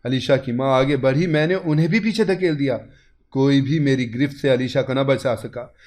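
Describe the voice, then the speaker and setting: A man reading a scripted first-person story as its narrator, in a dramatic style. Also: medium pitch.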